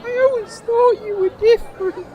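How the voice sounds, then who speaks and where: A man's voice: very high-pitched, fairly even intonation, moderate pace, dark tone, a faint crowd. A man voicing a meek, naive little sidekick in a comedic fantasy audio drama, whiny, plaintive and politely deferential. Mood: hurt, tearful.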